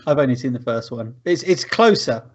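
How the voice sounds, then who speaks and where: A man's voice: medium pitch, lively intonation, normal speed, muffled recording. A man chatting casually as a podcast co-host, opinionated and sweary. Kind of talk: casual group chat about films.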